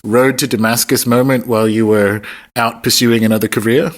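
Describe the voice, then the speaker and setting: A man's voice: mid-range voice, fairly even intonation, brisk. A man hosting a podcast, speaking as presenter and interviewer. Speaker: podcast host. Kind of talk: scripted podcast introduction, then casual interview. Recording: recorded in a pub, cleaned up.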